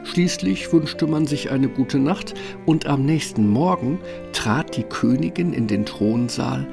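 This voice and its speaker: A man's voice: medium pitch, a little slow, creaky, over music. A man narrating a scripted fairy tale as the storyteller of a radio play.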